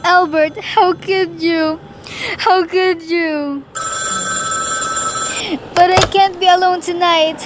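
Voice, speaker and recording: Woman acting shrill, nervous, demanding invalid wife. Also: a little hiss or hum, poor audio. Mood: distraught. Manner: agitated, complaining, imperious. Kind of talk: amateur radio play acting.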